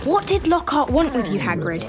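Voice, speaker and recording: A woman's voice: high-pitched, big pitch swings, normal speed, loud music behind the voice, pitched above the performer's own voice. A woman voicing a direct, protective, plain-spoken schoolboy in an audiobook. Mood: curious, serious.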